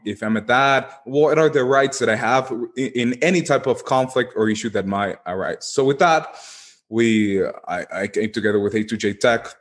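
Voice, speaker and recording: A man from Guatemala with a Guatemalan Spanish accent, explaining earnestly in an interview and often padding phrases as he goes. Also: mid-range voice. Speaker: podcast guest, legal tech executive.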